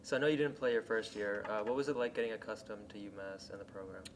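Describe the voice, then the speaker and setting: Male interviewer, rapid-fire brief questions, off-mic, faint noise. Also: fairly low pitch, steady tone.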